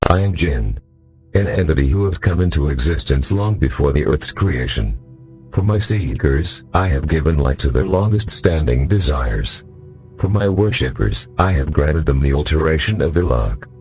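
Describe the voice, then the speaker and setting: A man's voice: rumbling low, expressive, normal speed, a little mellow, soft background music. A man voicing an ancient jinn spirit, grand, ominous and solemn, in a visual novel. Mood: solemn, imposing.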